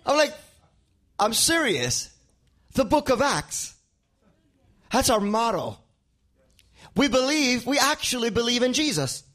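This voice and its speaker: A man's voice: extremely high pitch, big pitch swings, slow, pauses often, fairly bright tone. A male pastor, a native Spanish speaker with a Spanish accent, preaching a sermon and often repeating words as he builds a point.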